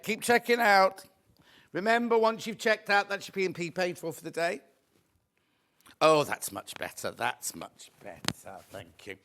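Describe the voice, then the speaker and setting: A man hosting a live shopping show, chatty and friendly, reading out viewer messages with a slightly croaky voice. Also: high voice. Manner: chatty, reads viewer messages aloud warmly. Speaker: shopping channel host.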